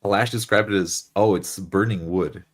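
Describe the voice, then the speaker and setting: A man's voice: low voice, moderate pace. A man chatting casually and eagerly as a game player, often repeating how he starts a phrase. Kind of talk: casual chat.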